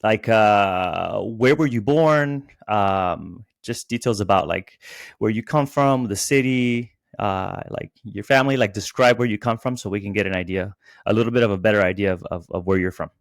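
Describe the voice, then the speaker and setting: A man's voice: on the low side, normal speed, creaky. A man hosting a podcast over a video call, narrating a dramatic intro and then chatting hesitantly with many fillers. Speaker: podcast host. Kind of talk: scripted narration then casual interview.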